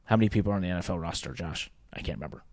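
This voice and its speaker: A man's voice: low voice, racing, darkish tone, no echo, studio quality. A man co-hosting a podcast, explaining his points conversationally in a casual sports business discussion. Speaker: podcast co-host.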